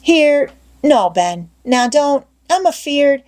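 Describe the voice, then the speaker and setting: A woman narrator plays a crafty young boy in an audiobook. He talks in folksy country phrases, hedging and feigning reluctance, with the pitch often lifting high. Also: very animated, a little mellow, exaggerated voice. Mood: mock anxious. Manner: folksy rural dialect, drawn-out hedging. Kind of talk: audiobook character voice.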